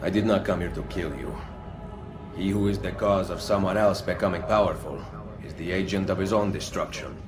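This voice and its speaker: Male actor, deep-voiced assassin, grave, terse, controlled, historical video game, music behind. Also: fairly quick, natural voice. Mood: stern. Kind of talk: dramatic video game voice acting.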